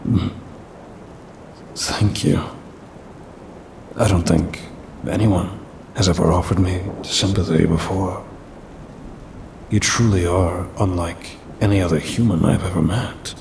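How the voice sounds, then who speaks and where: A man as a deep, slow-spoken haughty ancient demon. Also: steady tone, vocal fry, noisy background, exaggerated voice. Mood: softened. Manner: formal, pompous, dry complaining. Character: centuries-old male demon, haughty, grumbling, possessive yet caring. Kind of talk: scripted audio roleplay, ASMR.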